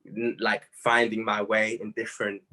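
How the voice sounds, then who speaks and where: A young British man with a low-pitched, bright and forward voice. He chats casually, like a musician in an interview, using slang freely and reflecting candidly on himself. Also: level tone, normal speed, rough recording. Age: late teens.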